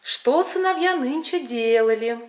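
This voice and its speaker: A woman's voice: sits high, expressive, measured pace. A woman voicing a stern but kindly peasant father in a folk tale, with an emphatic, folksy, questioning manner. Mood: probing.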